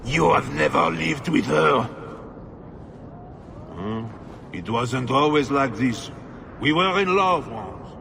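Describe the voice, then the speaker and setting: Game role: weary, defensive nobleman, bitter and self-pitying, dragging pace with gravelly fry. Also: medium pitch, lively intonation, slow, pauses often, background noise. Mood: wistful, bitter. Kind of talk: dramatic video game dialogue.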